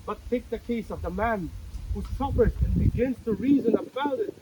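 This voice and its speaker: A man playing an anguished, philosophizing character in a dramatic play reading, declaiming deliberately with dramatic pauses. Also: very high-pitched, very noisy. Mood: earnest, reflective. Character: anguished, philosophizing male character pleading with a gentleman.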